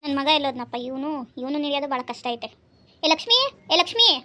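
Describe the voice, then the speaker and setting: A woman playing a nagging middle-aged mother-in-law who scolds loudly and grumbles to herself. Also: high voice, flat delivery, racing. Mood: scolding.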